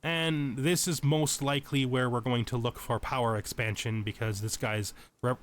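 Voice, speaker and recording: A man's voice: medium pitch, steady tone, moderate pace. A man with a mid-range voice hosts a video game tutorial. He speaks casually, explaining systems step by step and thinking aloud as he makes his choices. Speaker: let's play host giving a game tutorial. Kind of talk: casual gaming tutorial commentary.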